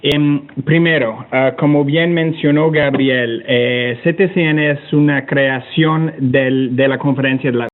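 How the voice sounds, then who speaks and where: Halting Canadian-accented man on a phone-like line. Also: mid-range voice, steady tone. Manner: halting, hesitant, repeats words while searching for them. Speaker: webinar panelist, climate technology organisation representative. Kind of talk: informal spoken presentation with slides. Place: online webinar call. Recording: narrow tinny phone-like online connection.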